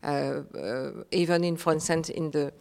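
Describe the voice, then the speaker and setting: A woman with a low, gravelly voice, a Creole speaker from Réunion. She talks earnestly, pausing on fillers and restarting her sentences. Her voice rings with a long reverb. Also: steady tone, background noise. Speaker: guest. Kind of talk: reflective conversational interview.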